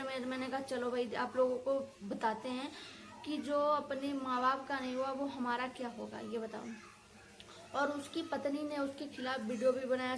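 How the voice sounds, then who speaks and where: A woman with a high-pitched voice rants casually to her online audience. She sounds indignant and scolding, circling back over her points in colloquial, opinionated phrases. A faint ambient noise sits behind her voice. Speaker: reaction video creator. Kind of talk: casual opinionated rant to viewers.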